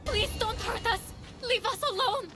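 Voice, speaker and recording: A woman's voice: very high-pitched, moderate pace. A woman acting as a frightened, suffering refugee in a video game, hesitant and pleading. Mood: terrified, pleading. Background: game soundtrack music.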